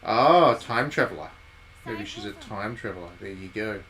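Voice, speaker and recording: A man's voice: on the low side, normal speed, low-quality recording. A man giving casual live commentary as he streams a game, rambling and thinking aloud. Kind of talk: casual live commentary on a visual novel playthrough. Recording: steady background noise.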